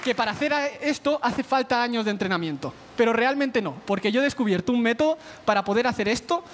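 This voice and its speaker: High-voiced young Spanish man from Valencia. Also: lively intonation, quick pace, noisy background. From Spain. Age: 20s. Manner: confident, smooth, addresses judges directly. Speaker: talent show contestant. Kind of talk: stage patter while performing mentalism. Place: large television studio stage. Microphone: headset mic. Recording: broadcast audio with clear music behind.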